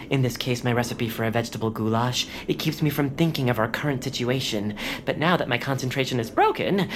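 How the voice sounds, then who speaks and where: A woman voicing an anxious, gentle meditating man in a comedy radio play who frets nervously. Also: low voice, noisy background. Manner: nervous, fretful.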